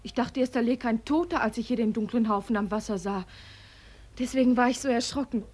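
A woman plays a lively, kind young woman in a radio drama. Her voice is high. She coaxes and teases with playful insistence. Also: a little fast, lightly acted. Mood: startled, concerned. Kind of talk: German radio play acting.

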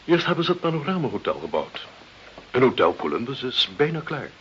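Through the hiss of a muffled old radio play comes a servile, efficient office deputy. His pitch moves up and down in a lively way while he stays deferential and dutiful. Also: a little fast. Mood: eager, informing. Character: obsequious, efficient male deputy to a pompous director. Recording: dull, hissy old radio play recording.

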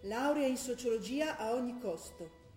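An actress playing a determined, studious young daughter in a stage drama, with a Trentino accent and an earnest manner. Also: female voice, on the high side, lively intonation, normal speed, darkish tone, roomy sound, soft background music, close to an everyday voice. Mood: declamatory, insistent. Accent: Trentino, northern Italian. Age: young adult. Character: determined, studious young female sociology student, impatient daughter. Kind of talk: stage acting, from dialect talk to declaimed reading.

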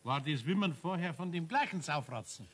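A man's voice: fairly high pitch, animated, brisk, breathy edge. A man playing a good-humored but grumbly card-playing friend with a folksy Bavarian accent in a 1970s radio play. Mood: grumbling. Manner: folksy, colloquial.

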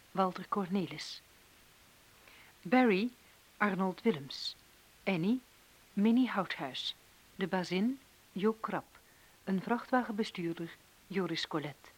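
A woman with a Flemish accent formally reading scripted credits as a radio announcer, in a measured manner. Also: medium pitch, lively intonation, slightly rough, low-quality recording.

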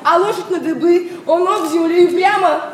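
A very high-voiced pert maidservant. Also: female voice, normal speed. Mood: brisk, agitated. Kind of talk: theatrical classic verse comedy acting. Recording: echoey distant stage, faint noise.

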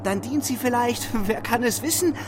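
Frail young dreamer, very high voice. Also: male voice, moderate pace, background noise. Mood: feverish, dreamy. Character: frail sickly young idealist man, feverishly dreaming. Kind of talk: German radio drama acting.

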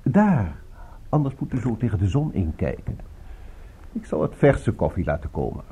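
A genteel, evasive gentleman host in a radio play. His voice is soft-edged and slightly husky, moving freely up and down through polite, urbane small talk. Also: lowish voice, big pitch swings, moderate pace, exaggerated voice. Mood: affable. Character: smooth, genteel male host, courteous but evasive suspect. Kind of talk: radio drama dialogue.

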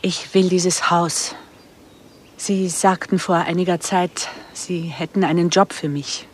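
A woman's voice: lowish voice, steady tone, takes it slowly. An actress playing a resolute, matter-of-fact mother in a German radio crime drama. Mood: cool, determined. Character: resolute, cool mother willing to kill for money. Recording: faint ambient noise behind.

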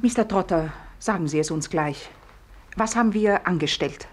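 A woman's voice: lowish voice, normal speed, close to an everyday voice. An actress playing an anxious, earnest young landlady of a guesthouse in a 1950s radio play. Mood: anxious, polite.

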